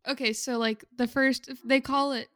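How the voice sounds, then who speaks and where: A woman co-hosting a podcast in casual chat, telling stories in a halting, amused way. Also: high-pitched, fairly even intonation, fairly bright tone, dry recording. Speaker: podcast co-host.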